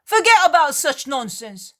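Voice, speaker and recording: A woman voicing a blunt male fisherman who gives loud, urgent commands during a storm in an audiobook. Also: high-pitched, sing-song, measured pace, pitched above the performer's own voice, exaggerated voice. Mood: commanding.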